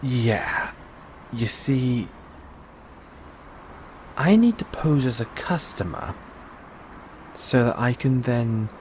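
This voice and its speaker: A man's voice: lowish voice, a little mellow, a little hiss or hum. A man playing a curious, reassuring investigative journalist in an intimate audio roleplay, musing and coaxing with hesitant phrasing. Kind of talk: intimate one-sided audio roleplay acting.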